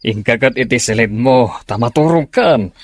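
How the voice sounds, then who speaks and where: Man acting middle-aged dad, medium pitch, patient, firm, radio drama. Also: lively intonation, normal speed, a little hiss or hum, natural voice. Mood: firm reassurance. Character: patient, firm middle-aged father calming his daughter.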